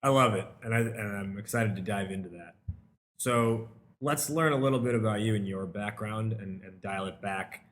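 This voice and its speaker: A male podcast host with a low voice that still has a bright, forceful edge. He chats in a friendly way and winds through long questions to his guest. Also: moderate pace. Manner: friendly, chatty, laughs easily, asks rambling questions. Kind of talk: conversational podcast interview.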